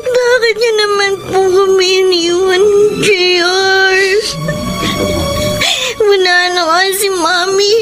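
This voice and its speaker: A helpless young daughter in a radio melodrama, crying through her words. The voice is extremely high and slow, with loud music behind it. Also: steady tone. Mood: tearful, grieving. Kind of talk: Filipino radio drama acting.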